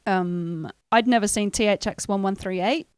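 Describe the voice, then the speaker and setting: A woman chatting on a casual live stream, earnest and talkative as she recommends films. She is getting over a cold, and her voice sits at a middle pitch. The sound is close and dry. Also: moderate pace, darkish tone, clear, resonant tone, no room sound. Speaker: co-host. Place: indoors, live stream studio.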